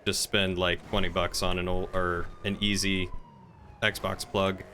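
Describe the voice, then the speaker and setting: A man's voice: low-pitched, level tone, measured pace, bright, forceful tone. A man chatting casually on a game live stream in an easygoing way, with vehicle noise from the game behind him.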